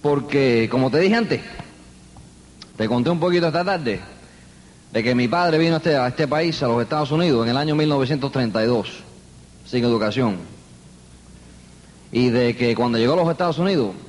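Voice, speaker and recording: A man's voice: mid-range voice, animated, normal speed, pauses often, a little hiss or hum. Earnest older man, speaking in an echoing hall. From New York, United States. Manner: earnest storytelling, emphatic repetition. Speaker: motivational speaker. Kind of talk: motivational speech to a live audience.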